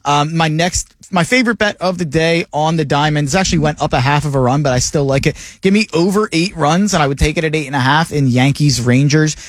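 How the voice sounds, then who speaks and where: A man hosting a sports betting radio show in a studio, rattling off his picks in a jokey, rapid-fire way. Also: fairly high pitch, no room sound. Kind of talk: casual sports radio talk. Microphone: studio mic.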